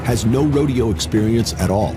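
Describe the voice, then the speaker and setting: A man's voice: mid-range voice, normal speed. A man reading scripted documentary narration. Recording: loud music under the voice. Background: loud music.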